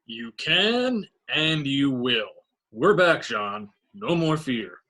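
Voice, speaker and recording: A man's voice: medium pitch, very animated, slow pace, sharp tone, heavily acted. A man playing a resolute, encouraging superhero with a firm, emphatic delivery at a script table read. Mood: rousing resolve.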